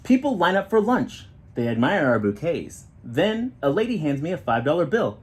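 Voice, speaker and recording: A man reading a children's picture book aloud in an expressive storytelling style, with short explanatory asides. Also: a little high, moderate pace. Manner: expressive, acting out children's dialogue.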